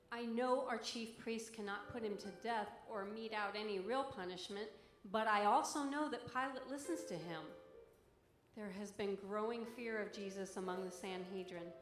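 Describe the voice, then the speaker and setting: A woman's voice: mid-range voice, lightly acted. A woman playing an anxious council member from biblical times, giving an earnest, reflective dramatic monologue. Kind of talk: dramatic monologue reading in church. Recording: echoey church, music behind.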